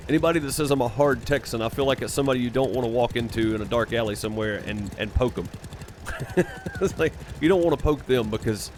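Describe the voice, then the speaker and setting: A man's voice: mid-range voice, quick pace, darkish tone, a little hiss or hum. Chatty Mississippi man streaming. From Mississippi, United States. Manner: chatty and teasing, laughs often, restarts phrases. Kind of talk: casual chat with live viewers.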